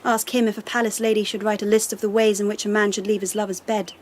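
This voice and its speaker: An actress playing a haughty, icy young empress in a radio drama, imperious, formal and measured in her disdain. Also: mid-range voice, level tone, darkish tone, close to an everyday voice. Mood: haughty, probing.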